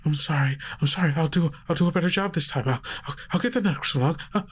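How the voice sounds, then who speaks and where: A man's voice: on the high side, husky, voice pushed up. A man voicing a frightened prisoner who mumbles and stammers, begging forgiveness in a tabletop game. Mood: panicked, apologetic. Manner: rapid repeated stammering.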